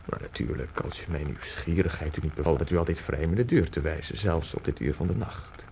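A deep, gravelly male novelist in a radio drama, worldly and curious. He talks formally and at length, measured and courteous, with noise behind the voice. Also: darkish tone. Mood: courteous, intrigued. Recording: noisy radio drama recording.

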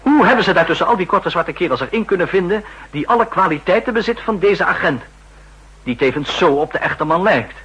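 An ambitious young assistant with a high, bright voice that swings widely in pitch and has a breathy edge. He argues earnestly and insistently, in the manner of 1960s radio drama. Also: animated, brisk, heavily acted, rough recording. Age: young adult.

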